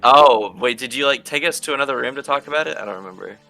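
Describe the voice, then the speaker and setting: A man playing a tabletop roleplaying game, chatting casually in a halting way and often repeating himself. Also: medium pitch, vocal fry, bright, forceful tone. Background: music.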